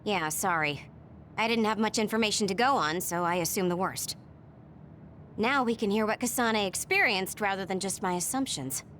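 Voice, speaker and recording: A woman's voice: mid-range voice, normal speed, a bit bright, a little hiss or hum, close to an everyday voice. A woman playing a brainy, laid-back young woman in an English game dub, explaining things in an analytical, matter-of-fact way. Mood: apologetic.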